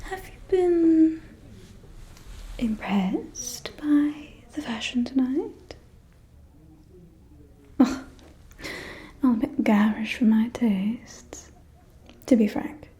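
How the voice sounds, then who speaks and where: Casting: actress for a catty aristocratic female vampire, ASMR roleplay, slow whispered gossip, higher-pitched, mellow and teasing. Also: animated, exaggerated voice. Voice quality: soft, breathy whisper. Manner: conspiratorial, teasing, drawn-out delivery.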